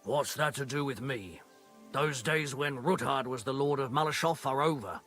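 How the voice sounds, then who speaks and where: An actor playing a gruff, wary medieval horse keeper in a video game, with husky, grudging, rustic speech. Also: male voice, mid-range voice, moderate pace, a bit bright, faint music behind, close to an everyday voice. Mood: curt, hostile. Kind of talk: video game dialogue acting.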